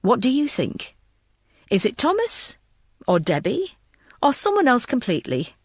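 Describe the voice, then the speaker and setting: A narrator as an animated, fretful detective woman. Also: on the high side, a little slow, husky, no echo, studio quality, voice pushed up, heavily acted.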